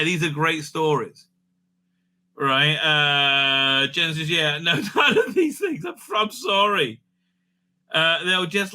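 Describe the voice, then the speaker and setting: Bright, sharp-voiced man rambling through reviews. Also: a little high. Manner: rambling, opinionated, repeatedly tags phrases with "right?". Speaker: host of a livestream review show. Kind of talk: casual off-the-cuff review chat. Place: indoors at home.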